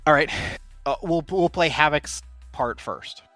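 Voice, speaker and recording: Male game master, slightly high voice, close dry sound, casual improvised tabletop narration. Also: unhurried, fairly bright tone, dry recording, soft background music.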